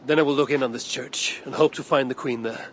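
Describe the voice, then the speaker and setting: A man's voice: medium pitch, steady tone, a little fast, noisy background, natural voice. A man playing a stern, steadfast Viking warrior in a dramatic video game, blunt and restrained. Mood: urgent, stern.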